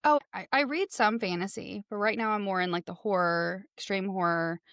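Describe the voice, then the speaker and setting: A woman's voice: mid-range voice, normal speed, a bit bright, dry recording. Young Texan woman, podcast host, bubbly and giggly, dry close sound. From Texas. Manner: bubbly, laughs often. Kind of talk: casual interview chat.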